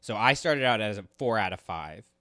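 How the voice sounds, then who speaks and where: A man's voice: fairly low pitch, expressive, a little fast, bright, forceful tone, dry recording, clean studio sound. A man co-hosting a podcast, talking through a story in a casual, conversational and explanatory way. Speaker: podcast co-host. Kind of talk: casual book discussion and recap.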